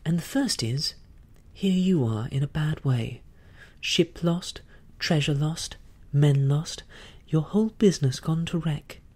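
A man's voice: on the high side, slow pace, a little mellow, husky, voice pushed up. A man voicing Jim Hawkins, a brave young boy, declaiming boldly and defiantly. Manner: bold, declaiming. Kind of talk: audiobook reading.

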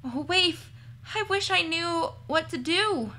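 A woman's voice: very high voice, lively intonation, takes it slowly, bright, forceful tone, voice pushed up, full character voice. A woman voicing an earnest, worried girl heroine from a storybook, who frets and thinks aloud in a chatty way. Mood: dismayed.

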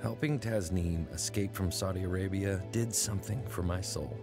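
An earnest young man narrates his story in a scripted radio drama. His voice sits on the low side and sounds reflective. Music plays clearly under his words. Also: moderate pace. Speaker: narrator and lead character. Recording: studio drama over music. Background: music bed.

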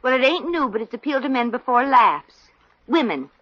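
An actress playing a brassy, scheming young Brooklyn secretary, wisecracking in slangy 1940s radio comedy style. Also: female voice, high voice, very animated, fairly quick, a bit bright, exaggerated voice. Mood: eager, scheming. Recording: muffled, roomy old radio recording.

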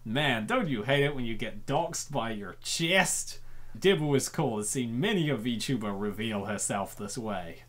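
A host reacting to and reading a comic aloud in an excitable, dramatic way, often repeating phrases. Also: medium pitch, unhurried. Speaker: host of comic dub show.

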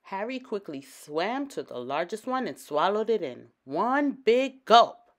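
A woman storyteller reading a children's picture book aloud with expressive, dramatic emphasis. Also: mid-range voice, measured pace.

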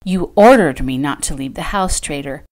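A woman's voice: low-pitched, animated, normal speed, darkish tone. A woman voicing a stern, protective male rancher from a Western romance, speaking tersely in orders.

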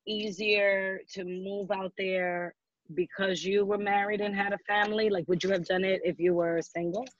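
A woman's voice: mid-range voice, normal speed, dry recording. Curious woman on lo-fi video call. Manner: curious, asks questions, relaxed. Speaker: podcast co-host. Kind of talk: casual chat on a video call. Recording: dull lo-fi call audio.

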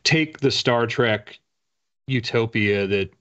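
A man's voice: mid-range voice, slow, a bit bright. An American man in his forties chatting casually as an interview guest, with wry, self-deprecating humor. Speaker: author guest. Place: small home room lined with bookshelves. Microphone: video call, at a desk. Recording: slightly muffled video call audio.

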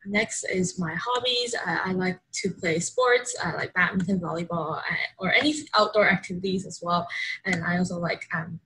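A woman's voice: fairly low pitch, normal speed, bright, forceful tone, breathy edge, poor audio. A young woman from Hong Kong introducing herself over a video call, chatty and upbeat, with many likes and ums. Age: young adult. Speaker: exchange student introducing herself.

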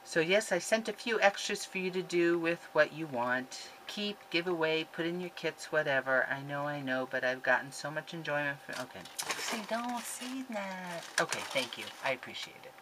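A woman's voice: low-pitched, expressive, moderate pace, hardly any pauses, background noise. A woman in her fifties chatting in a rambling, gushing way while showing craft supplies from her craft room, recorded on a desk webcam. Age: 50s. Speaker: scrapbooking vlogger. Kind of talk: casual chatty haul video. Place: craft room at home, indoors.

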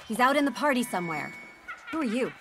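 Lively, sassy young partygoer. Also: female voice, a little high, fast talker. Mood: annoyed. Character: annoyed, sassy young female costumed partygoer. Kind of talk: video game voice acting. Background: music.

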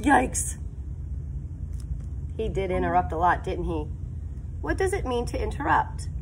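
A woman's voice: medium pitch, big pitch swings, unhurried, gravelly, over loud music. A woman in her thirties, a teacher, talking upbeat to the camera in a classroom and asking questions. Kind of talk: classroom video lesson. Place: school classroom, indoors. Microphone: phone camera at arm's length.

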